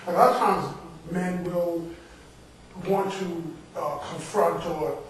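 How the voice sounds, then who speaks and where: Echoey far-off recording, high-voiced man, from New York, casual, conversational, repetitive phrasing. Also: steady tone, takes it slowly, slight background noise. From Bronx, New York. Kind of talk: casual group discussion at a book talk. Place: indoor literary salon, far from mic. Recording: echoey distant room with audience sounds.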